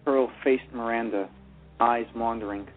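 A man's voice: mid-range voice, fairly even intonation, measured pace, soft background music. A man narrating a radio play who stumbles and restarts over his words while reading. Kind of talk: reading a story aloud. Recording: tinny phone-line sound.

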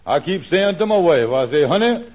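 A scheming, smooth-talking lodge boss from a 1940s radio comedy. He wheedles in a folksy, fairly high voice that swings up and down with mock concern. The sound is narrow and tinny, like an old broadcast. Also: very animated, fairly quick. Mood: ingratiating. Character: scheming, smooth-talking male lodge leader, a fast-talking conniver.

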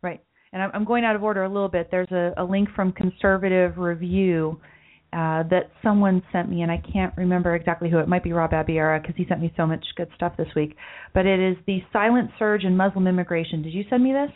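A woman's voice: lowish voice, fairly even intonation, brisk. A woman hosting a political talk podcast, with a light voice she calls mousy, earnest and often repeating words as she thinks aloud. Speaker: podcast host. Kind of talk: political talk show discussion. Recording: phone-like lo-fi audio.

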